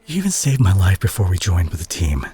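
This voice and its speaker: Man acting a husky, emotional superhero, fiercely protective. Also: sits low, sing-song, dark tone, exaggerated voice. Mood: impassioned, reproachful. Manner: earnest, emotional, halting delivery with sighs. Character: gruff brawler superhero, male, fiercely protective, guilt-ridden and tender. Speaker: audio roleplay voice actor. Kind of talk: dramatic intimate audio roleplay monologue.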